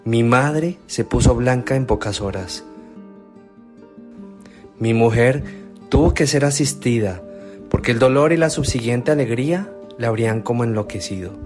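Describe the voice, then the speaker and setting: A man's voice: medium pitch, moderate pace, long pauses, darkish tone, soft background music, natural voice. An actor playing an earnest young man raised from the dead in a devotional radio drama, speaking emphatically. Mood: indignant.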